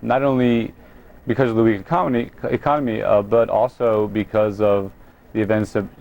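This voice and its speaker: Lowish-voiced American man, halting interview guest. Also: fairly even intonation, gravelly, darkish tone. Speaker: company president, interview guest. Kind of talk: live news interview.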